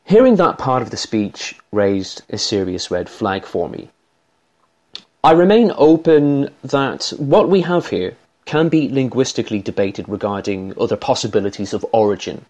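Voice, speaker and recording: A man with an Irish accent giving scripted, measured analytical commentary as a voice analyst. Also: fairly low pitch, lively intonation, darkish tone.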